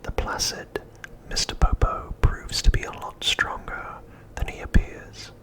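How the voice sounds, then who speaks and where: A man narrates in a steady ASMR whisper, soft and unvoiced. His delivery is slow and deliberate, leaving pauses between phrases as he reads out summaries. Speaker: host of an ASMR channel.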